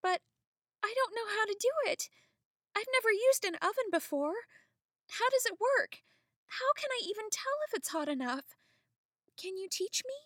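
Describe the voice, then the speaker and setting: A woman's voice: very high voice, expressive, moderate pace, pauses often, fairly bright tone, dry recording, studio quality, pitched above the performer's own voice. A woman voicing a frightened little girl who pleads through tears. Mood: pleading. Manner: pleading, tearful.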